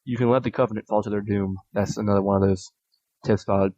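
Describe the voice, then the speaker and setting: Low-voiced man reading listener tips. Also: steady tone, quick pace, dark tone, no room sound. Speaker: podcast host. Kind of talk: reading listener submissions aloud. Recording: dull lo-fi audio.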